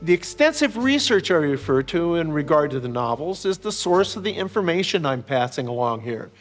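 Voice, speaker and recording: Higher-pitched older American man, music behind. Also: big pitch swings, fairly quick. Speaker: author and series host discussing his novels. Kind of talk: reflective explanatory commentary, semi-scripted narration.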